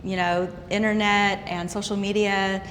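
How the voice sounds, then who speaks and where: A woman's voice: medium pitch, unhurried. Woman in her 50s chatting on a panel, keeps restarting her sentences, echoey room, bit of background noise. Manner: lively storyteller, restarts often. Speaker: talk show panelist. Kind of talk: candid panel chat. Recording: reverberant room with faint noise.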